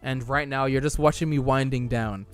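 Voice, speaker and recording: Young male streamer, mid-pitched, casual chat-reading, slightly tired voice, light music bed. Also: steady tone, normal speed. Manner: chatty, reads chat aloud and answers it, loose restarts. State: losing his voice.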